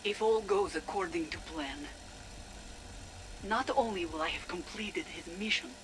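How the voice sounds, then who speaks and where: A woman playing a fervent, secretive zealot recording a hushed, intense personal log for a video game. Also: lowish voice, noisy background, lightly acted. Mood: haunted devotion. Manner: slow, hushed, intense, deliberate. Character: fervent, secretive female zealot awaiting her father's vengeance.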